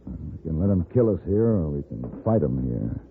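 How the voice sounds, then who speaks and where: An actor playing a cool, decisive gentleman gunfighter in a radio western, speaking in a clipped, authoritative way. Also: rumbling low, very animated, normal speed, heavily acted. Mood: tense, cool. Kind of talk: 1950s radio western acting. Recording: narrow-band old radio, faint hiss.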